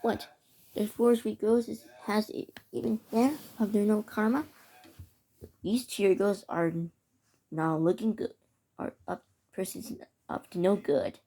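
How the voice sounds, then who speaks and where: Halting woman reading an emergency alert. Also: medium pitch, steady tone, warm tone, poor audio. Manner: halting, stumbling over words. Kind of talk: amateur mock emergency broadcast narration.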